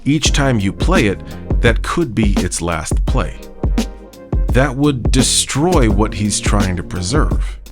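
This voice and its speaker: A man's voice: on the low side, expressive, slow. A man hosting a music video series, delivering scripted narration.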